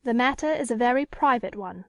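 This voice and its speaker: Proud, indignant storybook lady, played by a woman narrator: very high pitch, formal, emphatic, close dry sound. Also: lively intonation, brisk, dry recording, dull audio. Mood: guarded, defiant. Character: proud, defiant woman with an unhappy secret past. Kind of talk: dramatic audiobook character reading.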